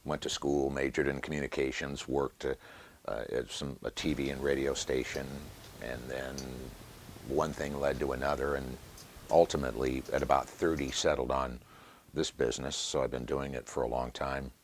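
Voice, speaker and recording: A man's voice: very deep voice, level tone, normal speed, a little hiss or hum. An American man in his sixties, a professional announcer, talking reflectively about his career as in an interview. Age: 60s. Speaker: stadium announcer and voiceover artist.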